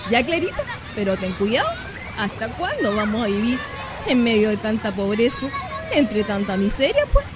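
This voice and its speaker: A woman playing a worried, protective, poor mother and neighbour in a radio drama, colloquial and expressive with a Chilean accent. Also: fairly high pitch, normal speed, a crowd in the background. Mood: worried, scolding. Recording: clear ambient noise behind voice.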